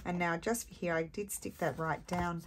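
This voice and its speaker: A woman with a low, slightly scratchy voice talks through a craft tutorial at her desk. She is chatty and self-mocking, thinking aloud as she works. A faint hiss of noise sits under the recording. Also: steady tone, normal speed. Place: indoor craft table. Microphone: overhead camera on a desk.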